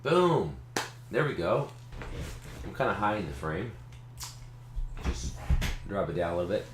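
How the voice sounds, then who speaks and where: A man hosting a casual tech video, rambling in a relaxed way and repeating himself, with a slight cough. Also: low-pitched, measured pace, very noisy. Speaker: tech channel host. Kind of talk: casual unboxing talk to camera. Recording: echoey room.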